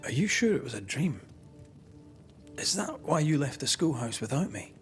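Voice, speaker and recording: An actor playing a devoted, protective ghost hunter in a video game, speaking in a measured, reassuring, naturalistic way. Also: male voice, medium pitch, sing-song, faint music behind, natural voice. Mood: calm, probing.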